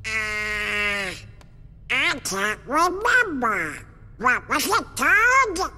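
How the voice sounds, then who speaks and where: A man's voice: very high voice, very animated, drawn-out words, pushed and bright, exaggerated voice. A man voicing a hot-tempered male cartoon duck with a quacking, bossy and impatient delivery. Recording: long hall reverb with music.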